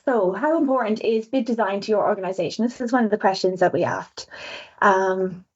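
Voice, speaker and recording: Fast-talking Irish woman on a webinar. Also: mid-range voice, slightly rough. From Ireland. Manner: fast-talking, chatty, self-aware. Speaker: webinar presenter, visual designer. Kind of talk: presenting survey results on a webinar. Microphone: video call. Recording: dull lo-fi webinar audio.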